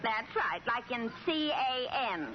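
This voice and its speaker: An actress playing a wry, teasing household maid with wisecracking delivery in a vintage radio sitcom. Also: female voice, on the high side, expressive, measured pace. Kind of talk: 1940s radio sitcom acting. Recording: dull, noisy vintage radio recording.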